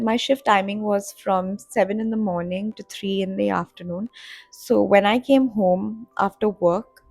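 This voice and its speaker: Chatty young woman with a gravelly edge. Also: medium pitch, a little mellow, no echo. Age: 20s. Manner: chatty, rambling with many fillers and restarts. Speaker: content creator. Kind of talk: casual vlog talk to camera. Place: home in Dubai.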